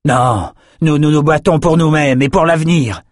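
A man's voice: mid-range voice, monotone, slow, dry recording, studio quality, close to an everyday voice. A man voicing defiant rebel gladiators in an audiobook, declaiming battle slogans with fervour. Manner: declamatory, fervent delivery. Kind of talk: audiobook character acting.

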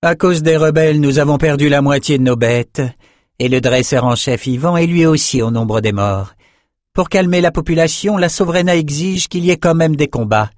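A man's voice: a little high, moderate pace, dry recording, clean studio sound, voice pushed up, close to an everyday voice. A man voicing a ruthless warrior woman who commands gladiators and barks imperious orders, in a storybook reading. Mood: stern, cold.

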